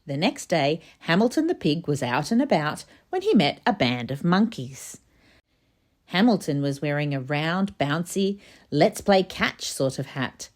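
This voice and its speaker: A woman reading a children's storybook aloud, expressive and emphatic in her narration. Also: low voice, measured pace. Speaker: storybook reader.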